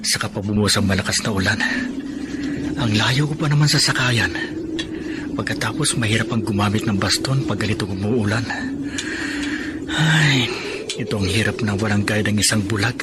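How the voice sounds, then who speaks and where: A man's voice: lowish voice, moderate pace, pauses often, close to an everyday voice. Earnest young Filipino man acted, noisy background. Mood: worried. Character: earnest, hardworking young blind male student and masseur. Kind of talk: Filipino radio drama acting. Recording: radio drama with noisy ambience behind.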